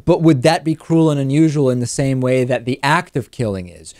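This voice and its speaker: A man hosting a conversational political talk show, reasoning aloud in a measured way. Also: medium pitch, a little mellow, no room sound, studio quality. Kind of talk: conversational political talk, reading listener questions.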